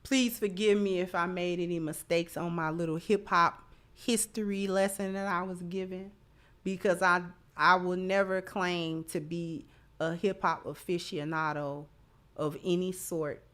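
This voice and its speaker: A woman's voice: on the low side, fairly even intonation, measured pace, fairly bright tone. A woman hosting a livestream, chatting casually and giving commentary to her supporters. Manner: effusive in thanking supporters. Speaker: livestream host.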